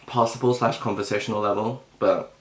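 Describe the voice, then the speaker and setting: A young British man chatting casually and self-deprecatingly to camera, clearing his throat now and then. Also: lowish voice, steady tone, a little fast, reverberant, rough recording. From Britain. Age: young adult. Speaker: vlogger. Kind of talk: casual mukbang chat.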